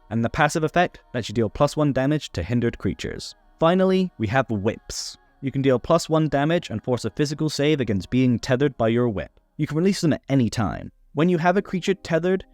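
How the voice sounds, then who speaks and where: A man's voice: mid-range voice, darkish tone, no echo. A man narrating a scripted tabletop game rules guide, instructional with playful asides. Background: background music.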